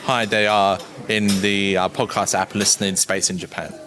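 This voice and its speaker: A man from Australia, a guest giving clear, informative answers in a conference interview. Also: on the low side, little pitch movement, moderate pace. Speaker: interview guest, podcast producer. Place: busy conference venue. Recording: noisy crowd around the mic. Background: crowd chatter.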